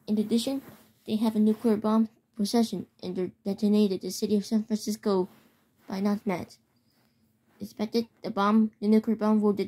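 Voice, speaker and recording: A woman reading a scripted mock emergency alert as an amateur announcer, halting with stumbles and repeated words. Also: medium pitch, dark tone, rough recording.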